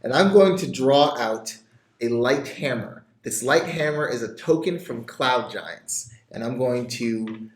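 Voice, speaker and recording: A man taking his turn as a player in a tabletop role-playing game, animated and laughing often. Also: medium pitch, a little slow. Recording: echoey room.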